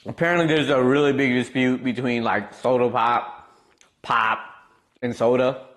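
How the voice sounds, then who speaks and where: Young male streamer, Midwestern accent, easygoing rambling chat, mid-pitched voice. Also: moderate pace. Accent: Midwestern American. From Wisconsin, US Midwest. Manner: laid-back, rambling, lots of fillers, animated when amused. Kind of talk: casual chat with viewers.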